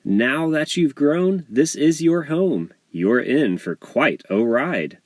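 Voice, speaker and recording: Storybook monkey dad, played by a male reader: jolly, welcoming joker, pitch raised, lively swings. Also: takes it slowly, no room sound, clean studio sound. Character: jolly male monkey dad who loves corny jokes.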